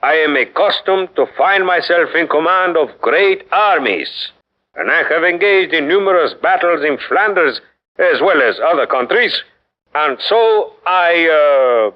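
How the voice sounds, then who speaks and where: An actor playing a proud Spanish fort commander who dictates a defiant reply in a lofty, formal manner, in 1940s radio acting. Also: male voice, on the high side. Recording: dull lo-fi 1940s radio broadcast.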